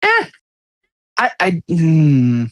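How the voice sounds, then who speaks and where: A man co-hosting a podcast in casual conversation, halting and full of restarts, with blunt self-deprecating humor. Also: a little high, huge pitch swings, fairly bright tone, no echo. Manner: halting, many restarts and fillers. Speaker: podcast co-host.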